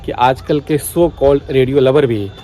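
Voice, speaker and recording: Mellow-voiced Indian man vlogging earnestly. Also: mid-range voice, unhurried. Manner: earnest, mixes in English words. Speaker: vlogger, radio enthusiast. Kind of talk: casual vlog talk to viewers. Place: outdoors on a foggy morning.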